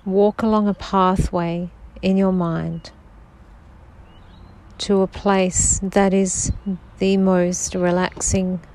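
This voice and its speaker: A woman guiding a sleep meditation in a slow, soft-spoken, soothing manner. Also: fairly low pitch, vocal fry, low-quality recording. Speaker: meditation guide.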